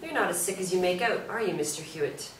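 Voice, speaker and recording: An actress in a staged play reading playing an accusing, formal defence lawyer, recorded in a roomy space. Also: female voice, on the low side, lively intonation, quick pace, natural voice. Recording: roomy, distant mic with faint noise.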